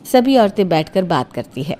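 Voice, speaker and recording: A woman's voice: on the low side, big pitch swings, normal speed, dark tone. A woman reading scripted storybook narration for a cartoon tale.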